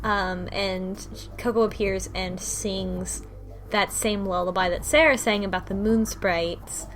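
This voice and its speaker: A woman talks casually as a podcast co-host, her voice sitting at an average pitch. She retells a story in a loose, conversational way with wry asides, and music plays underneath. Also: fairly even intonation, takes it slowly. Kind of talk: casual book club discussion of a game.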